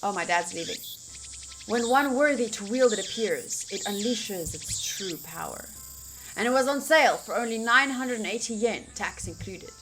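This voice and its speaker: A woman's voice: mid-range voice, expressive, moderate pace, fairly bright tone, slight background noise. A woman game streamer reading a visual novel aloud theatrically, mixed with casual reactions. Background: faint game music.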